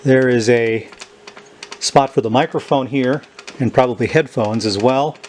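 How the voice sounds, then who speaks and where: A man giving an unscripted, halting demonstration of equipment, thinking aloud as he handles it. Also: medium pitch, darkish tone, a little hiss or hum. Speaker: host demonstrating a vintage tape recorder. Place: indoors at a tabletop.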